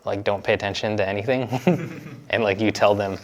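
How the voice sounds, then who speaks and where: Young man, from the United States, lowish voice, relaxed, laughs often, casual interview. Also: normal speed. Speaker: interviewee, university student.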